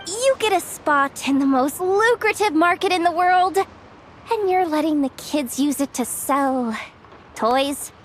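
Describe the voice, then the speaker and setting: A cocky young woman guard from a video game, speaks in a very high voice that swings up and down. She takes her time over brash, teasing lines and puts on a theatrical tough act. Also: animated, slow, a little hiss or hum. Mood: teasing, incredulous.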